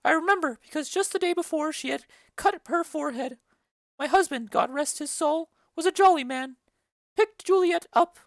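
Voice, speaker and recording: A woman voicing a chattering, bawdy old female nurse in a dramatic reading of a play, rambling and loud. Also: sits high, normal speed, no echo. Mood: gossipy. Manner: loud, rambling, giggly storytelling.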